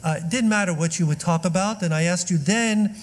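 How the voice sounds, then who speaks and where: Man, host introducing a speaker, high voice, chatty with fillers, long hall reverb. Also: a little fast. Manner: chatty, joking asides with many fillers. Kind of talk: introductory remarks at a synagogue event. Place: synagogue hall.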